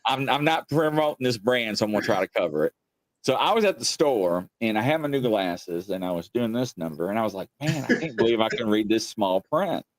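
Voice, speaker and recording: A man's voice: fairly low pitch, lively intonation, brisk. A man in his late forties hosting a casual show, telling rambling, folksy stories emphatically and acting out quoted conversations. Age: late 40s. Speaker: show host. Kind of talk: casual chat with anecdotes and acted-out quotes.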